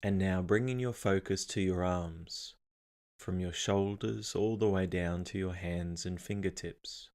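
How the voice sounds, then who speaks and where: A man narrating a guided meditation as an instructor, calm, soothing and unhurried. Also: low-pitched.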